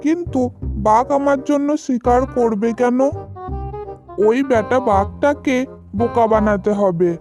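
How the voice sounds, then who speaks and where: A woman's voice: fairly high pitch, measured pace, pauses often, a little mellow, soft background music, pitched below the performer's own voice, close to an everyday voice. A woman voicing a sly, weary old fox in a children's cartoon folk tale, wheedling, mocking and plotting aloud. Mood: scheming.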